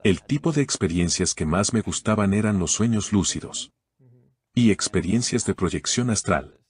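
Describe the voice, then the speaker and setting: A man from Canada speaks as an interview guest, his voice sitting very low. He lays out his answers in a calm, methodical way, defining terms step by step. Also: normal speed, husky.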